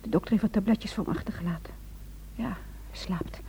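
A woman's voice: mid-range voice, fairly quick, gravelly, dark tone, in a whisper, close to an everyday voice. A woman acting in a radio play as a caring, anxious wife, attentive and sometimes hesitant. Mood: worried, hushed. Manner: attentive, hesitant when flustered. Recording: muffled, noisy old radio recording.

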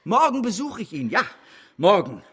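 An actor playing an imperious, volatile financier in a German radio drama, with theatrical delivery that swings from hushed to explosive. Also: male voice, high voice, big pitch swings, moderate pace, breathy edge, exaggerated voice. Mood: brusque, exuberant.

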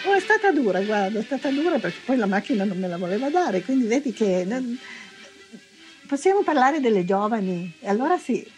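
A woman's voice: fairly high pitch, big pitch swings, fairly quick, over music, rough recording. An older woman giving informal testimony in a hesitant, colloquial way, often repeating herself. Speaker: interviewee recalling her past.

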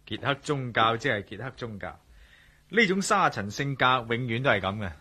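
An actor playing a shrewd, composed adventurer in a Cantonese radio drama, measured, wry and self-assured, with a Hong Kong accent. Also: male voice, mid-range voice, lively intonation, pushed and bright, breathy edge. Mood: wry, knowing. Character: shrewd, composed male adventurer and amateur sleuth.

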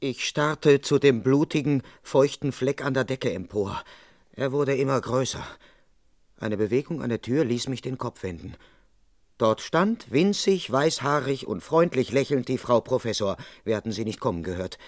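A dogged constable , played by a male actor, in a crime radio play. His mid-pitched voice moves up and down expressively as he questions people and tells the case with growing exasperation. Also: animated, moderate pace, natural voice. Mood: determined narration. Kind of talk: German radio crime play, dialogue and first-person narration.

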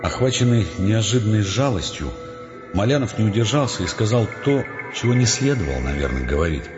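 A man's voice: on the low side, animated, a little fast, natural voice. An actor playing a grave male narrator, telling a scripted story in a radio drama. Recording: reverberant, slightly muffled, music behind.

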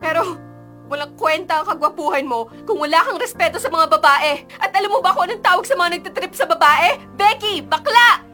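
A woman's voice: very high-pitched, expressive, a little fast, pushed and bright, soft background music, heavily acted. An actress playing a feisty, indignant young female student in a Filipino radio drama, with an emotional, dramatic delivery. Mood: angry, tearful. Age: young adult.

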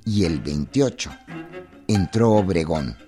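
A man's voice: low-pitched, animated, slow pace, dark tone. A man narrating history from a script for a radio drama. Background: string music.

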